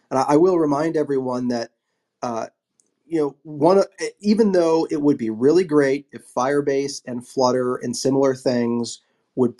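A man hosting a casual online discussion panel, wrapping up and thanking speakers in a relaxed, rambling way. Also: mid-range voice. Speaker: host.

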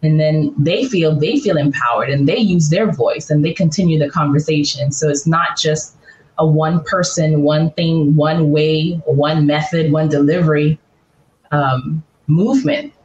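A woman's voice: sits low, unhurried, darkish tone. A woman from the Bahamas, a podcast guest, speaking earnestly and building her points in repeated lists. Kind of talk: reflective interview answers. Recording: roomy, dull remote audio.

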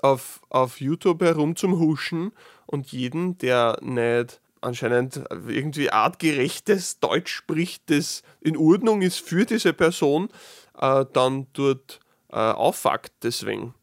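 A man with an Austrian dialect chats through his solo podcast at an ordinary middle pitch, often restarting his sentences as he thinks aloud. His asides come out dry and sarcastic. The recording is close and dead, with no room sound around him. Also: dry recording. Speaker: podcast host. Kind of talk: casual solo monologue.

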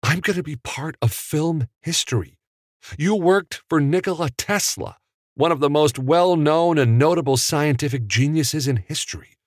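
A man voicing an eager, excited young man who is awed by old Hollywood. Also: fairly high pitch, moderate pace, no room sound, clean studio sound, pitched above the performer's own voice, lightly acted. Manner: eager, excited, breathless with wonder. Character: young man, eager and awestruck movie fan.

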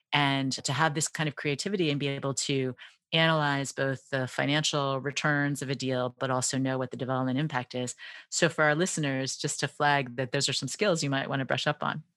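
A woman's voice: extremely low pitch, a bit bright, no echo, clean studio sound. A woman hosting a podcast interview in a composed way, speaking directly to the listeners. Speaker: podcast host.

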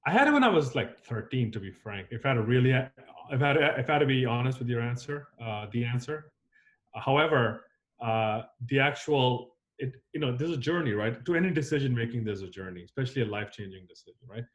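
A man's voice: mid-range voice, animated, brisk. Reflective man, rambling with fillers. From born in Zambia, raised on the US East Coast. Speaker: filmmaker guest. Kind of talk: casual interview conversation.

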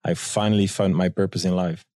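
Low-voiced Belgian man, mellow storyteller. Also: steady tone, brisk, dry recording, clean studio sound. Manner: anecdotal, acts out quoted dialogue. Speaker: guest, tour guide and business owner. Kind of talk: conversational interview storytelling.

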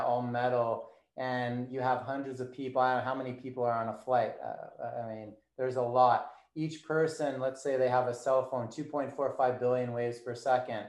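A man's voice: mid-range voice, darkish tone, roomy sound. A man being interviewed as a guest, explaining his theories step by step in casual talk. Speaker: guest, performance coach.

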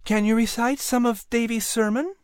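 A woman voicing a warm, playful colonial mother who asks her son gentle, teasing questions. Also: on the high side, fairly even intonation, normal speed, smooth, dry recording, studio quality, voice pushed up, lightly acted.

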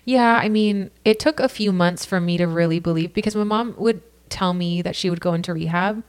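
A podcast guest from California speaks in a slightly low voice with sincere, unhurried warmth. Her talk is casual and personal, loosely strung together with "like" and "you know.". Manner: earnest, frequent "like" and "you know" fillers.

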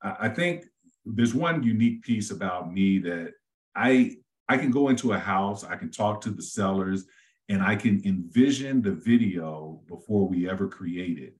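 A middle-aged man, a real estate agent, talking at length and easygoing in a casual interview, with a deep voice he says suits radio. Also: animated, normal speed. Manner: easygoing, talks at length with many fillers and restarts.

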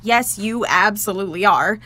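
A young woman playing a bossy, excitable teenage amateur scientist in an audio drama, sarcastic and mock-formal. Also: mid-range voice, moderate pace. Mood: exasperated. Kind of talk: naturalistic audio drama acting.

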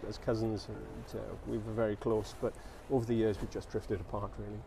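Man, Welsh accent, first language Welsh, low pitch, measured interview answers. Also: flat delivery, far from the mic, background noise. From South Wales. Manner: measured, explains with frequent restarts. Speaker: guest. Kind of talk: casual interview conversation.

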